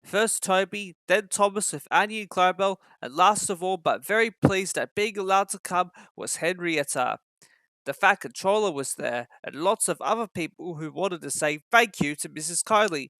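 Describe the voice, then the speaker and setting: A man's voice: high voice, expressive, normal speed, sharp tone, no echo. A man reading a children's story as a scripted narration.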